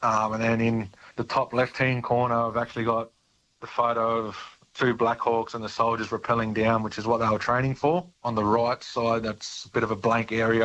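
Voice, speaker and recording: A man's voice: mid-range voice, a bit bright. A man with an Australian accent talking informally as an interviewee. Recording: dull, muffled sound.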